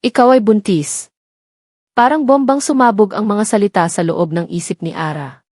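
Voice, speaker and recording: A Filipino woman narrates a scripted dramatic story. Her voice sits at a middle pitch for a woman. The sound is dry and close, with little room to it. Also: expressive, a little fast, darkish tone, dry recording.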